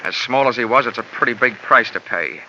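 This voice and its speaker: A man's voice: medium pitch, a little fast, pushed and bright, a little hiss or hum. An actor playing a hard-boiled male insurance investigator, narrating and talking in a terse, sardonic, wisecracking way for a 1940s radio drama. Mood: bitter. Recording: dull old radio broadcast with music.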